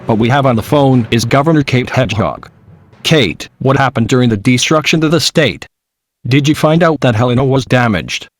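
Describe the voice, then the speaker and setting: A man's voice: mid-range voice, moderate pace, no echo, clean studio sound. A man reporting scripted news in a formal manner as an anchor. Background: music.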